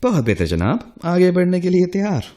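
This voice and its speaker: An actor playing a polite, thoughtful taxi driver who thinks aloud as a quiz show contestant in a radio play. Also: male voice, sits high, sing-song, dark tone. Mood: earnest, pondering. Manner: pondering aloud, polite and deliberate.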